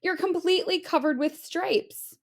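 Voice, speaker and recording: A woman's voice: extremely high pitch, normal speed, voice pushed up. A woman voicing an alarmed, bossy mother in a children's storybook, exclaiming and insistent. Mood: shocked. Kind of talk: children's storybook read-aloud.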